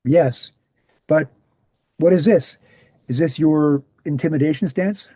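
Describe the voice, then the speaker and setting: A man acts out a jovial, assured older researcher in a screenplay table read. His voice is mellow and a little high, closely dry. He explains things with confidence and can harden when challenged. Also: slow, no echo. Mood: amused, challenging.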